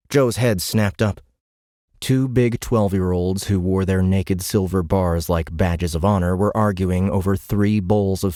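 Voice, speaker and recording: A man's voice: sits low, darkish tone, dry recording, studio quality. A man narrating a science fiction audiobook in a scripted, dramatic storytelling style.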